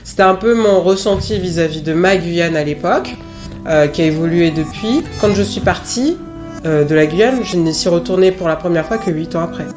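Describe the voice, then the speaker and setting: Low-pitched woman from French Guiana, candid. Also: lively intonation, moderate pace, a little mellow, faint music behind. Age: late 30s. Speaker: interviewee. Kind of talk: reflective personal testimony in an interview.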